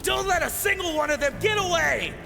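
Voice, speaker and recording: A man playing a frantic, shouting figure in a village raid in an anime game. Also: extremely high pitch, animated, fairly quick, vocal fry, over music, exaggerated voice. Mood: urgent, angry. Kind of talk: dubbed anime game acting.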